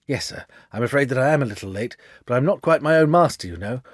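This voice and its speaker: A man's voice: medium pitch, expressive, fast talker, no echo, studio quality, close to an everyday voice. A man voicing an evasive, insinuating villain of about thirty in a storybook, with a fussy, polite, self-excusing manner.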